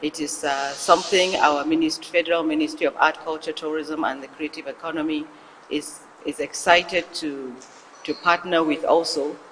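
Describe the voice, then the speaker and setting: High-voiced Nigerian man in an echoing hall. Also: fairly even intonation, moderate pace, a bit bright. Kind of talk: formal statement at a press event. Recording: reverberant hall, faint noise.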